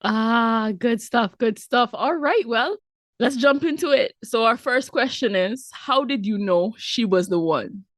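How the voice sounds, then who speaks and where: A woman hosting a podcast, upbeat and playful, talking quickly in a casual interview. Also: on the high side, expressive, a bit bright, no room sound, studio quality. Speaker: podcast host.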